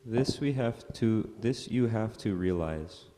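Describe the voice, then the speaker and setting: A man's voice: on the low side, lively intonation, slow pace, dark tone. A man in a class repeating each phrase of a lecture back aloud. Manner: echoes each phrase back after the speaker. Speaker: class participant. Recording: echoey hall with long reverb, faint noise, off mic.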